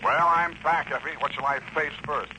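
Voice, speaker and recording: An American actor playing a wry, flirtatious hard-boiled private detective in 1940s radio drama style. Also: male voice, fairly high pitch, steady tone, moderate pace, natural voice. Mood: wry, teasing. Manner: wry, sardonic. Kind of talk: 1940s radio detective acting with first-person narration. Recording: noisy old-time radio recording.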